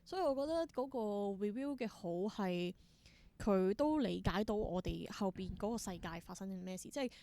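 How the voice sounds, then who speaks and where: A woman with a Hong Kong Cantonese accent speaks at a middle pitch as an interview guest. She talks conversationally, explaining as she goes and mixing English words into her sentences. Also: normal speed, gravelly, dark tone. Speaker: interview guest, theatre performer.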